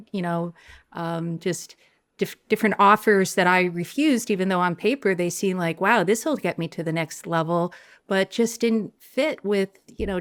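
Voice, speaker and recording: A middle-aged woman hosting an interview podcast, who speaks reflectively and often pauses to search for words. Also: medium pitch. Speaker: podcast host.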